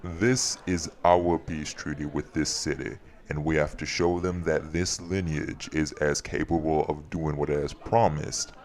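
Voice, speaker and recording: Guy acting as bossy host man, super deep n gravelly, scolds and fusses, audio drama. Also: flat delivery, unhurried, hardly any pauses, darkish tone. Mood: stern, fussing. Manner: scolding, exasperated, folksy. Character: bossy, fussing male party host and shopkeeper.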